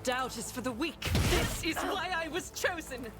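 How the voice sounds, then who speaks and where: Righteous zealot fighter lady from a game, high voice, declamatory, music really loud. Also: expressive, normal speed. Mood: fervent. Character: zealous warrior woman of the faith, stern and fervent.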